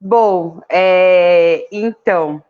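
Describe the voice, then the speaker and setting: A woman's voice: fairly low pitch, extremely slow, clear, resonant tone, narrow, tinny audio. A woman from Salvador, a chemistry graduate, telling her own story informally in a debate, with fillers. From Salvador, Brazil. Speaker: chemistry graduate and council candidate. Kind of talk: casual spoken life story in a debate.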